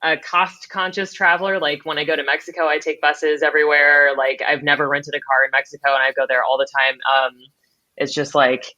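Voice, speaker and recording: A woman hosts a podcast in a chatty, upbeat way, with loose fillers and easy laughter. Her voice sounds bright and sharp. The call audio comes through dull and lo-fi. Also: deep voice, flat delivery, brisk. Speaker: podcast host. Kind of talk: casual interview chat.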